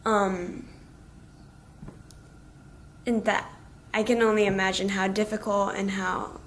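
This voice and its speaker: A woman speaking casually in a documentary interview, relaxed with fillers. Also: medium pitch, takes it slowly, a little hiss or hum.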